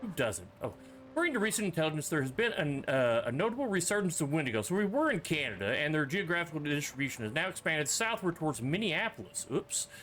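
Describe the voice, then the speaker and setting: A man's voice: fairly high pitch, very animated, fast, a bit bright, a little hiss or hum. A man giving casual commentary as he plays a horror game, reading screen text aloud with joking, offhand asides. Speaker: streamer playing a horror game.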